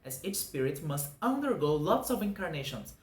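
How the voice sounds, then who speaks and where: A man in his twenties from Brazil with a Brazilian Portuguese accent, whose first language is Portuguese. He hosts his own channel and explains a doctrine in an earnest, measured way, going through points one by one. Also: on the high side, sing-song. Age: 20s. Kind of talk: scripted explanatory monologue. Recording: echoey room with faint background noise.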